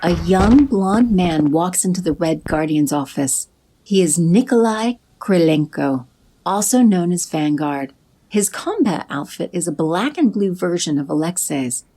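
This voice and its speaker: A woman narrating an audio drama in a steady, descriptive way. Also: fairly low pitch, a little slow. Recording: music bed under narration.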